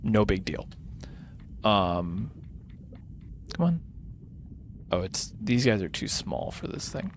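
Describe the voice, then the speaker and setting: A tired man whose voice is starting to go hoarse, rambling casually and thinking aloud as he hosts a gaming livestream. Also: lowish voice, big pitch swings, takes it slowly, pauses often, a little hiss or hum. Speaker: livestreamer hosting a charity gaming stream. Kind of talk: casual unscripted game-stream chat.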